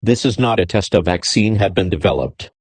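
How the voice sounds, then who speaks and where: A man's voice: low voice, brisk, breathy edge, no room sound. A man delivering a scripted emergency broadcast announcement in a formal, terse, official manner.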